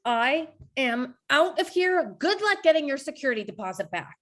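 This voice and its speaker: A woman's voice: high-pitched, very animated, normal speed, fairly bright tone. A woman reading the part of an indignant young aspiring comedian at an online table read, exasperated and emphatic. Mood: angry.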